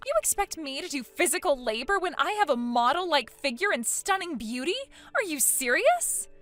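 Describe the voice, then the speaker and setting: A woman's voice: high-pitched, big pitch swings, moderate pace, fairly bright tone, faint music behind. A woman voicing a spoiled, vain woman in her late twenties in acted story dialogue, dramatic, whiny and indignant. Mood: haughty, indignant. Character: spoiled, vain, panicky 29-year-old woman.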